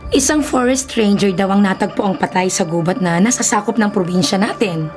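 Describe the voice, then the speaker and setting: An actress with a Filipino accent playing a worried, cautious wife in a Tagalog radio drama, questioning and anxious. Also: female voice, medium pitch, brisk, a little mellow, close to an everyday voice. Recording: dramatic music behind the voice. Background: music.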